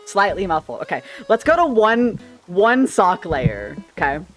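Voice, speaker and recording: A man chatting casually on a livestream with a slightly shrill, sharp-sounding voice, rambling playfully and tripping over his words. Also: sing-song, a little slow, soft background music, low-quality recording. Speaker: streamer. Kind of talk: casual livestream chat with viewers. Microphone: desk microphone.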